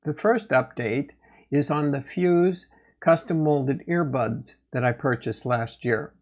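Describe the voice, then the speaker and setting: A man hosting a gear review channel, giving a product update in a plain, matter-of-fact, measured way. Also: mid-range voice, dull audio.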